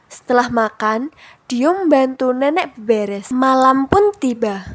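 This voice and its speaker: A young woman, a student storyteller, narrating a scripted children's tale. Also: sits high. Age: young adult.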